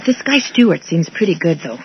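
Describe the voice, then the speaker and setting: An actress playing a practical, reassuring young dental assistant with a brisk manner in a 1980s radio drama. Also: female voice, on the low side, sing-song. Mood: businesslike. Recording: dull, noisy old radio broadcast.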